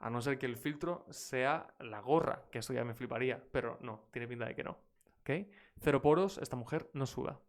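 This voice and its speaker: Spanish-born English teacher on a live stream, British-leaning accent, lively chatty delivery, mid-pitched voice. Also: male voice, quick pace. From Spain. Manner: energetic, chatty, reacting to clips.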